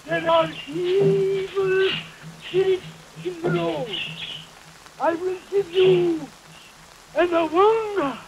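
A grave old witch doctor gives ominous warnings in a high voice, very slowly. It is old horror film acting on a noisy soundtrack, with rain falling behind. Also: dull audio. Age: older. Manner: slow, ominous, solemn. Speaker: film actor. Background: rain storm.

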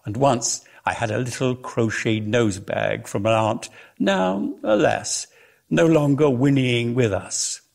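A man giving an expressive, theatrical reading of lyrical prose as a measured storyteller. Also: medium pitch, gravelly. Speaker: reader of a dramatic story.

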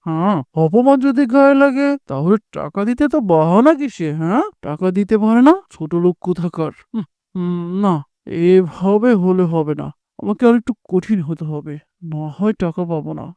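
Casting: male actor as bossy cartoon moneylender, high but mellow, scolding and greedy. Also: expressive, normal speed, smooth, dry recording. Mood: threatening, scornful. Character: greedy domineering village moneylender, a married man. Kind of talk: Bengali cartoon voice acting.

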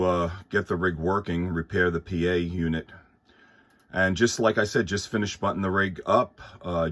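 Deep voiced guy on ham radio, polite and thankful, just chatting casually. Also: a bit bright. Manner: courteous, thankful. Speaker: amateur radio operator. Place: indoors at a workbench.

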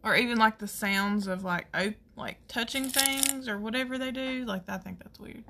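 A woman with a Southern US accent chatting casually through a makeup tutorial, folksy and rambling as she thinks out loud. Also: fairly high pitch, normal speed, background noise. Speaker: makeup artist hosting a tutorial.

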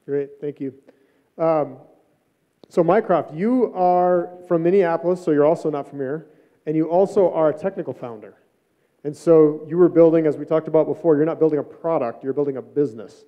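A middle-aged man with a fairly high voice moderates a live panel, speaking unscripted as he hands questions to guests. The stage recording is a little dull. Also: moderate pace. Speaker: panel host, startup mentor. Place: stage of an auditorium, indoors. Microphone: handheld microphone.

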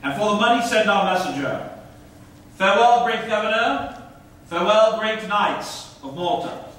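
An actor playing a courteous but firm Turkish prince in a classical stage drama, speaking formally and with measure. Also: male voice, high voice, animated, moderate pace, fairly bright tone. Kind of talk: classical verse stage reading. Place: stage of an auditorium, indoors. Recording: echoey hall, distant, some noise.

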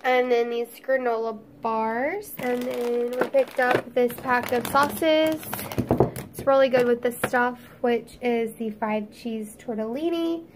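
A woman's voice: fairly high pitch, steady tone, takes it slowly. A woman in her thirties with an Oklahoma Southern accent, narrating a casual grocery haul in a chatty, homey way, her voice congested and a little crackly from a cold. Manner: chatty, homey, listing items. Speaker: YouTube vlogger, mom.

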